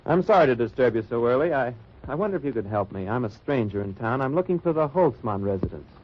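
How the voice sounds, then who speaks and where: An actor playing a dry, wry undercover agent who narrates in first person in a 1940s radio drama. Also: male voice, medium pitch, expressive, fast, slight background noise, lightly acted. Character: hard-bitten American undercover agent narrating. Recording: lo-fi old radio transcription.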